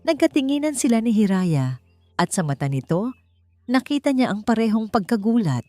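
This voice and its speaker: A woman's voice: a little high, very animated, normal speed, mellow, dry recording, soft background music. A woman with a Filipino accent reading a scripted, dramatic story as its narrator.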